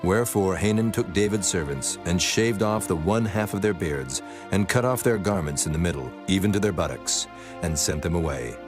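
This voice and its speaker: Very deep male scripture narrator. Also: moderate pace. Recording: music bed under the voice.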